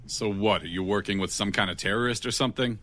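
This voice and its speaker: A man's voice: lowish voice, quick pace, fairly bright tone, close to an everyday voice. An actor playing a wry, unafraid tough guy in an audio drama, speaking in a dry, blunt, sardonic way. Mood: wry, probing. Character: wry, unafraid, plain-spoken male tough guy with a kind streak.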